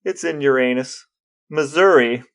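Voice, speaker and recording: A man co-hosting a podcast, chatting casually with deadpan, playful humor. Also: mid-range voice, measured pace. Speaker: podcast co-host.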